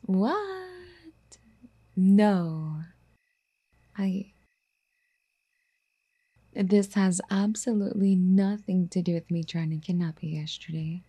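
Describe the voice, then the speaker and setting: A woman playing a doting, clingy, obsessive girlfriend in an ASMR roleplay, speaking in a soft, breathy, coaxing half-whisper. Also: mid-range voice, animated, takes it slowly, long pauses. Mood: flustered denial. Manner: cooing, coaxing pet-name sweet talk.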